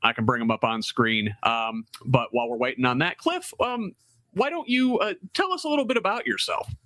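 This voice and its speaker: Male moderator, mid-pitched, lively, dry close video-call sound. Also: brisk, no echo. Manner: animated, with many fillers. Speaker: host. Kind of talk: town hall moderating.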